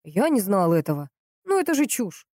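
A woman voicing a polite, sceptical, puzzled male police investigator in a crime audiobook, questioning in a measured way. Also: lowish voice, dark tone, no echo, clean studio sound, close to an everyday voice.

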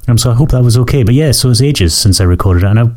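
A man hosting his own podcast, hoarse and croaky from a cold, chatting in a rambling way that keeps correcting itself. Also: mid-range voice, lively intonation, very fast, warm tone. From Scotland. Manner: rambling, self-correcting, apologetic. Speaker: podcast host. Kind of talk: casual solo podcast chat.

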